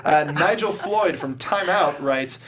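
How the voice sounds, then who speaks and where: Higher-pitched man hosting a movie show. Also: animated, takes it slowly, fairly bright tone. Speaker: host of a movie review show. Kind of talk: casual panel discussion.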